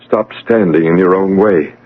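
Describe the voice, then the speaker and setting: An actor playing a solemn, all-knowing guide from the afterlife in an old-time radio drama, with a measured, portentous delivery. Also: male voice, low voice, phone-quality sound. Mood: solemn, probing. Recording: band-limited radio drama recording.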